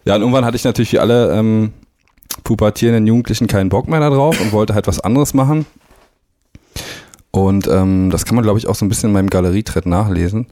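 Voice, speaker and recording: Young man, deep and warm, casual radio guest telling stories with easy laughter. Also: fast talker. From East Berlin, grew up in Brandenburg. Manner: relaxed, laughs often, anecdotal. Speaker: drummer, interview guest.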